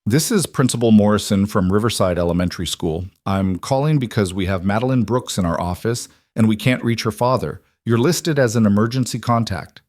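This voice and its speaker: A man's voice: lowish voice, darkish tone, dry recording, clean studio sound, lightly acted. Man voicing a concerned female school principal. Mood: concerned, professional. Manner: formal, measured concern.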